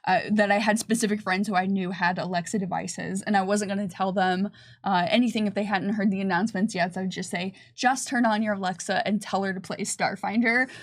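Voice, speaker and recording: Creaky-voiced woman chatting on a livestream. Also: mid-range voice, fairly even intonation, a little fast. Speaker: game developer and livestream presenter. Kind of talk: casual livestream Q&A chat.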